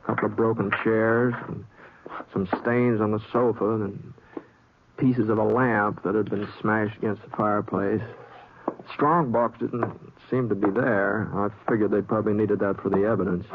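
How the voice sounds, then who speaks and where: An actor playing an easygoing cowboy drifter with an American accent, in the folksy, hesitant style of old radio drama. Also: male voice, on the low side, fairly even intonation, moderate pace, natural voice. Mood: musing, unhurried. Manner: folksy, hesitant, plain-spoken drawl. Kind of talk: 1950s radio drama acting and first-person narration. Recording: scratchy, tinny, noisy old radio recording.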